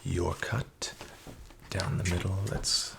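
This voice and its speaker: A man playing a smooth-talking, falsely friendly casino dealer, soft-spoken and half-whispered. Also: low voice, flat delivery, takes it slowly, heavily acted. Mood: sly coaxing. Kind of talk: soft-spoken ASMR roleplay. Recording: audible background noise with card shuffling.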